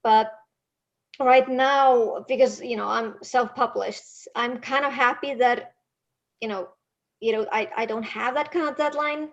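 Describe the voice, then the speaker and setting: A woman's voice: a little high, steady tone, unhurried. A woman with an Icelandic accent, a native Icelandic speaker, chatting as a podcast guest in a rambling, self-mocking way with lots of laughter over a video call. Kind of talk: casual interview chat. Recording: dull lo-fi call audio.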